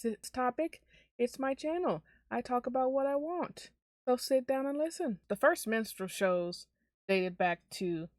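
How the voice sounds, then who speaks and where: A woman giving opinionated commentary to her viewers, speaking bluntly and emphatically with deliberate stresses. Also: medium pitch, no echo, clean studio sound. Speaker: video commentator.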